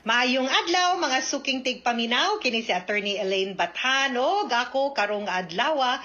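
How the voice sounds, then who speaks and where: A Cebuano woman lawyer hosts a radio advice program in a chatty manner, dropping English phrases into her talk. Her voice is bright and sits a bit high. The recording is slightly muffled, like an old broadcast. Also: animated, normal speed. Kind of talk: radio call-in advice hosting.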